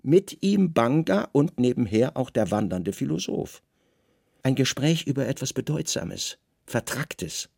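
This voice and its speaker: A male radio drama narrator tells a literary story in short, weighted phrases. His pitch moves freely and expressively, with gravelly fry in the voice, recorded close and dry. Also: expressive, a little slow, darkish tone, no room sound.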